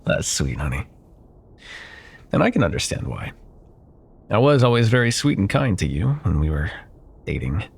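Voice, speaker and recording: A smug, wealthy CEO ex-lover played by a man in an ASMR audio drama. His voice is very low and breathy, with a gravelly creak. He drawls with silky condescension, possessive and menacing, leaving pointed pauses. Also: very animated, full character voice. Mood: smug, mocking. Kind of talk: ASMR roleplay, intimate dramatic monologue.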